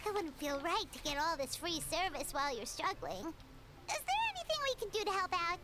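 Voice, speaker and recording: A woman voicing a small, eager, caring fairy companion in a video game with a squeaky childlike voice. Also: sing-song, a little fast, heavy background noise. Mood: concerned.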